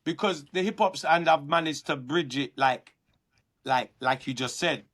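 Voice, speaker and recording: A man with a London accent, a guest artist talking earnestly through his career in a relaxed interview. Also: a little high, measured pace. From London, England. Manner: earnest, reflective, many restarts.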